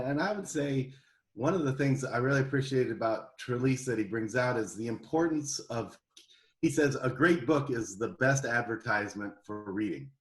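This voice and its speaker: A middle-aged man speaking as an interview guest, telling personal stories in an animated, conversational way. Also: mid-range voice. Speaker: guest, homeschooling parent.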